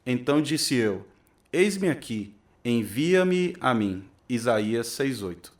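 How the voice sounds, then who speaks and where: A man's voice: mid-range voice, sing-song, takes it slowly, soft background music. A man acting as an earnest preacher giving a solemn, declamatory sermon in a radio drama. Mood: solemn, urging. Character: earnest male preacher addressing his congregation.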